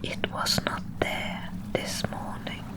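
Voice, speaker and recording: A woman voicing a tiny, gentle will-o'-the-wisp swamp guide in a fully whispered ASMR roleplay, with hushed, careful, soothing phrasing. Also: takes it slowly, close to an everyday voice. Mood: reassuring, careful.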